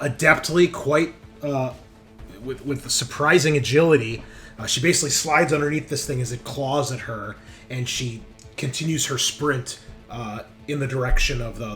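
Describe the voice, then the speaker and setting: A man's voice: mid-range voice, fairly bright tone. A man acting as game master in a tabletop roleplaying game, narrating action and explaining the rules. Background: background music.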